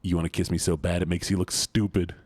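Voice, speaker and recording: A young man with a deep voice chatting casually with his stream audience, goofy and playful and often laughing. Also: level tone, fast, darkish tone, no room sound. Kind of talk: casual livestream chat.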